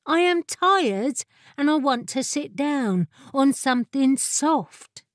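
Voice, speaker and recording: A cheeky little fire familiar, played by a woman reader, speaks in a raised, pert voice with storybook formality. She is headstrong and inquisitive, and her words come unhurried in a dry, close recording. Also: on the high side, animated, no room sound. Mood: pert, reasoning.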